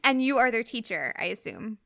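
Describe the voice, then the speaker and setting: A woman hosting a podcast interview, enthusiastic, with quick reactions to her guest. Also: on the high side, big pitch swings, creaky, fairly bright tone. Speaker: podcast host. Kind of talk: casual interview chat.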